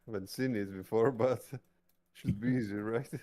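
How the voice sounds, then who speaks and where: A man's voice: fairly low pitch, steady tone, normal speed, dark tone. A man chatting casually as a player in a tabletop game.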